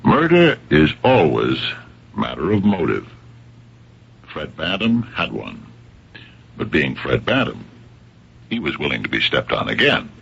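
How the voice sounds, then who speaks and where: A man playing a patient, shrewd police captain in an old-time radio drama, very deep and husky, speaking hesitantly and courteously but with quiet insistence. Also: wildly swooping pitch, unhurried, long pauses, full character voice. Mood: quietly insistent. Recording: dull, muffled vintage radio recording.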